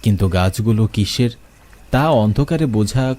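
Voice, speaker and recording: A young man narrating a first-person horror story, expressive and asking questions as he goes. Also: on the low side, moderate pace, slight background noise.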